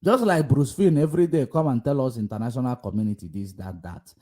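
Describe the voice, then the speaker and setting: Combative man hosting a livestream. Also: fast talker. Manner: combative, repetitive, swings between loud outbursts and low muttering. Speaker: livestream host. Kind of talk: casual political commentary livestream.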